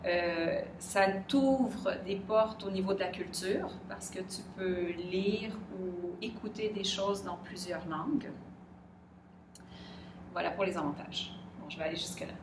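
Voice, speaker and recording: A middle-aged woman with a Québécois French accent answers questions in a measured, reflective way, often pausing to search for the right word. She sits some distance from the mic in a roomy, echoing space. Clear background noise runs under her voice throughout. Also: lowish voice. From Quebec. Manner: thoughtful, pauses to search for words.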